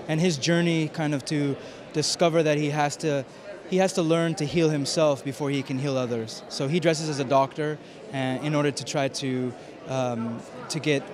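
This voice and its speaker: A man giving a festival interview as a film director, speaking haltingly as he searches for words. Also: fairly high pitch. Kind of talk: red carpet interview. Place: film festival red carpet. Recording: busy crowd and urban noise behind. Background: crowd chatter.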